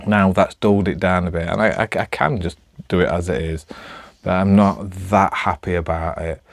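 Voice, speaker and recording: Northern english bloke w a low voice, rambly vlog chat, bit halting, really dry close sound. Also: male voice, fairly even intonation, vocal fry, dry recording. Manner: hesitant, halting, self-deprecating. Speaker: amateur vlogger. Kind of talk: casual rambling chat to camera.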